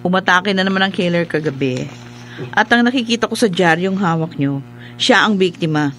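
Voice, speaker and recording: A man's voice: high-pitched, expressive, normal speed, a bit bright, faint music behind. A man playing an earnest, deferential junior police sergeant reporting in a radio drama. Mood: grave, urgent. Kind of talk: Filipino radio drama acting.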